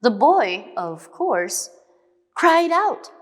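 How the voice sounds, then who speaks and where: A woman reading scripted fables as a storybook narrator, expressive, dramatic and deliberate. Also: fairly high pitch. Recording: long hall reverb.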